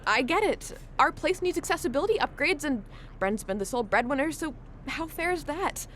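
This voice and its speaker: An actress playing an earnest young tour guide on their first day, talking persuasively and eagerly in a radio play. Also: female voice, sits high, lively intonation, a little fast, a bit bright, slight background noise. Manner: persuasive, eager, a bit preachy.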